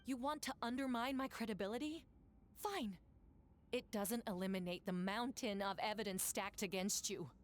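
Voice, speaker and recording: An actress playing an indignant, accusatory woman knight captain in a game, forceful and dramatic. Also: mid-range voice, normal speed, faint music behind, lightly acted. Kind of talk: English game voice acting.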